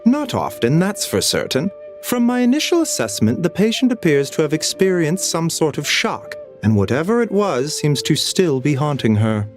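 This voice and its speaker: A man voicing a calm, soft-spoken male physician in a video game. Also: fairly high pitch, faint music behind. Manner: calm, gentle, measured. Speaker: doctor.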